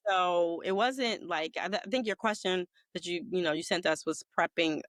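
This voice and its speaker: A woman's voice: fairly low pitch, moderate pace, a bit bright, dry recording. A woman in her fifties, a podcast guest, speaking thoughtfully and earnestly about growing up. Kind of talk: casual podcast chat.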